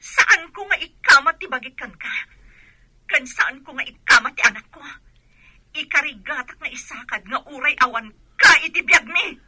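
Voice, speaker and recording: A woman's voice: high voice, lively intonation, moderate pace, very noisy. A woman playing a distraught, defiant young pregnant woman in a radio drama, emotional and reproachful. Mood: angry, hurt.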